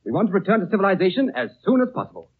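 An actor playing an impetuous, excitable, impatient young Englishman in a 1930s radio drama. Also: sits high, very fast, slightly rough, full character voice. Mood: impatient, irritated. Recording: muffled, noisy 1930s radio recording.

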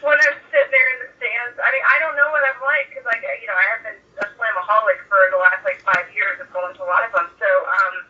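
A woman chatting casually as a podcast guest, gushing and rambling excitably over a tinny remote call. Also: medium pitch, fast, few pauses, far from the mic. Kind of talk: casual chat.

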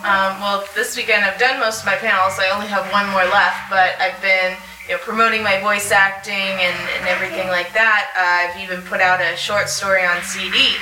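A woman's voice: mid-range voice, flat delivery, fairly quick, few pauses. A woman talking casually and informally as a panelist at a live convention. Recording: echoey, distant, noisy live room.

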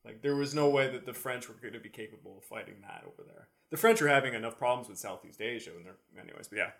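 A man with a mid-pitched voice in casual podcast conversation. He explains history informally, wandering into asides as he goes. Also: lively intonation, fast, creaky, a bit bright. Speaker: podcast co-host answering history questions.

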